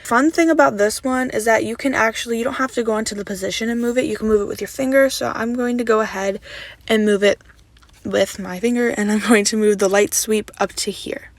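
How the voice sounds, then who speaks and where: Young woman, raspy just-woke-up voice. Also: a little high, brisk, no echo. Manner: relaxed, chatty step-by-step instructions with "so yeah" fillers. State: slightly raspy from just waking up. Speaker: video editing tutorial creator. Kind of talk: casual screen-recorded tutorial voice-over.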